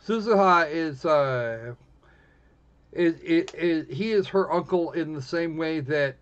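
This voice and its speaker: A man giving casual, snarky live commentary on a game, blunt and halting, often restarting his phrases. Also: a little high. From United States. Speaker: live streamer playing a visual novel. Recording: dull, lo-fi stream audio.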